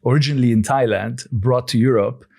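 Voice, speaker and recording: A man in his forties who grew up in Lebanon speaks as a guest founder in a podcast interview. He answers in a steady, measured voice, pitched around the middle for a man, often pausing with "um" and starting his sentences over. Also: creaky. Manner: measured, thoughtful, with frequent "um" and restarts. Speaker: guest, coffee company founder.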